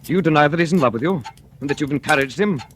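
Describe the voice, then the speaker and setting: An actor playing a bitter, jealous, broke husband in an old radio drama, cutting and menacing. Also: male voice, a little high, lively intonation, racing, a bit bright. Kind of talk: 1940s radio acting. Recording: old radio broadcast with hiss.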